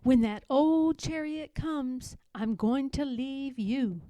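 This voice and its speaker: A woman acting a pleading, high-voiced devout runaway woman. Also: slow pace, dark tone, dry recording. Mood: resolute chant. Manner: pleading, fervent. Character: Harriet, frightened devout enslaved young woman praying.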